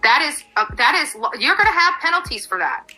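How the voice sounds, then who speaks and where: A woman's voice: high-pitched, big pitch swings, brisk, pushed and bright, soft background music, rough recording. A woman delivering a stern, threatening, emphatic warning in a confrontational address.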